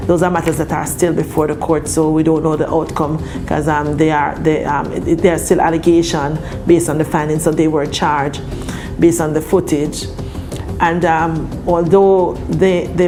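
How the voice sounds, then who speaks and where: A woman with a Jamaican accent giving a formal, halting and measured interview as a police official. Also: sits low. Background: background music.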